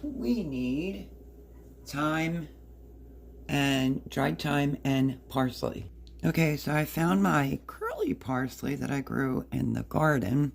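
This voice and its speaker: A woman with a hoarse voice casually explaining a recipe step by step in her home kitchen. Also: deep voice, very animated, slow. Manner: chatty, explains step by step while working. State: hoarse, partly lost voice. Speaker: home cook. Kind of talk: casual cooking demonstration.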